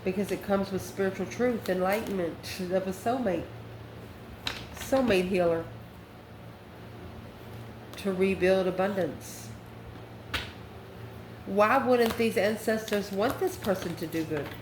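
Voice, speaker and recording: A woman's voice: medium pitch, slow, pauses often. A woman giving an improvised online tarot reading in a folksy, colloquial and sassy way. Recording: roomy echo with faint background noise.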